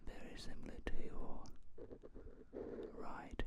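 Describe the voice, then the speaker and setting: A man speaking in a soft, breathy whisper, gently playing a polite, reassuring ear examiner in an ASMR roleplay. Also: takes it slowly.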